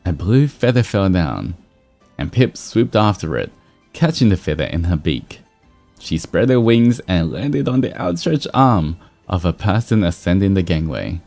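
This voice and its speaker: A man with a low, mellow voice hosts a stream and reads stories aloud. He takes his time, and his pitch moves around in a lively, amused way. Music plays behind him. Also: unhurried. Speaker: livestream host reading fan fiction aloud. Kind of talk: expressive story reading mixed with casual chat.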